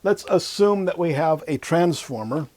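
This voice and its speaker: A man's voice: a little high, animated, measured pace, a little mellow. An older man, in his sixties or beyond, explaining like an instructor at a whiteboard, informally thinking aloud as he goes. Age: 60s or older. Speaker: electronics instructor. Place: small indoor room at a whiteboard. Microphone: lapel mic.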